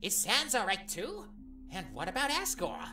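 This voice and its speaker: Piercingly bright, boastful skeleton, voiced by a woman. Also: on the high side, big pitch swings, moderate pace, soft background music. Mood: emphatic. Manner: emphatic, self-important. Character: loud, boastful, childlike male skeleton. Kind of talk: fan audio drama acting.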